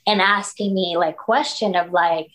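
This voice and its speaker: A woman's voice: on the low side, fairly even intonation, a little slow, fairly bright tone. A woman, candidly telling her story as a podcast guest. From Philippines. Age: 30s. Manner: candid, storytelling, frequent likes and you knows. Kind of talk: casual interview conversation.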